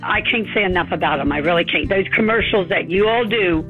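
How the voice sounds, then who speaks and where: A woman's voice: fairly low pitch, a little fast. Folksy woman on a phone line. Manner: folksy, warm-hearted chatter. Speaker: customer giving a testimonial. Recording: tinny phone line with faint music.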